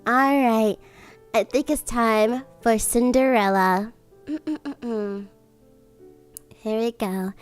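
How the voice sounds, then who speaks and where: A woman's voice: fairly high pitch, extremely slow, long pauses, clean tone, faint music behind. A woman livestreamer with a cutesy, gushing way of talking, chatting to viewers and reading bedtime stories. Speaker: streamer, host.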